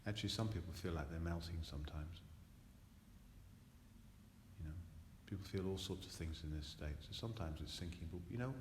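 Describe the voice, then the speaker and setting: A man leading a guided relaxation meditation as a teacher, soothing and hypnotic, repeating phrases between long pauses. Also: low-pitched. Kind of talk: guided relaxation meditation, spoken live to a group. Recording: echoey room with long reverb, faint noise.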